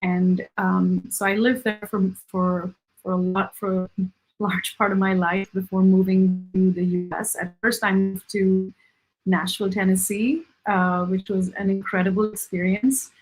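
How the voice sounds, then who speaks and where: A woman's voice: medium pitch, little pitch movement, unhurried, low-quality recording. A woman from India, a musician, answering interview questions with many ums and restarts. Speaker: musician guest.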